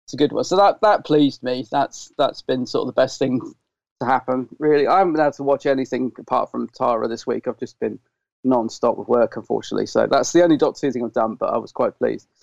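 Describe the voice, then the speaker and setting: Croaky man, gravelly chat. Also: mid-range voice, fast, a little mellow, dry recording. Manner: chatty, rambling anecdotes. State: croaky, dry throat. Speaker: podcast co-host. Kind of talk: casual chat. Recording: dull lo-fi sound.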